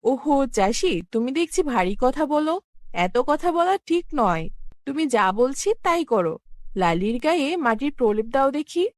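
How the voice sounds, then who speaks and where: High, lilting benevolent fairy played by a woman. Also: very animated, a little slow, a little mellow, dry recording, clean studio sound. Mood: chiding. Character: benevolent, gently bossy magical flower fairy woman. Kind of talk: cartoon fairy-tale voice acting.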